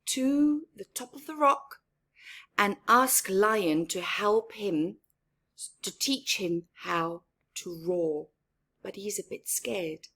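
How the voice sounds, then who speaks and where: A woman reading a picture book aloud to children in an expressive, theatrical way, with emphatic words and hushed, whispery phrases. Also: medium pitch, slightly rough. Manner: asks young listeners questions and comments on pictures. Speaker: storyteller for children.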